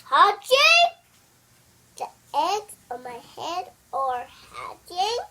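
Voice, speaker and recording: A child voicing a worried, easily upset male elephant from a picture book, with halting, incredulous delivery. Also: very high voice, wildly swooping pitch, pushed and bright, a little hiss or hum, exaggerated voice. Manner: exclamatory, halting, incredulous questioning.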